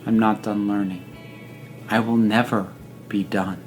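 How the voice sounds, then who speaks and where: A speaker delivering a scripted personal reflection like a speech, with deliberate, emphatic phrasing and pauses. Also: deep voice, steady tone, a little mellow, soft background music. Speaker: video essayist reading own personal reflection.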